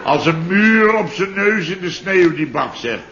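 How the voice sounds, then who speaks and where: A man's voice: high-pitched, animated, measured pace, a bit bright. A man playing a blustering, irritable middle-aged boss in a 1960s radio play who keeps protesting and grumbling. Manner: blustering, indignant, exclaims "te helle". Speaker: the boss ("chef"). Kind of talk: 1960s radio drama acting. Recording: dull lo-fi old radio recording with faint hiss.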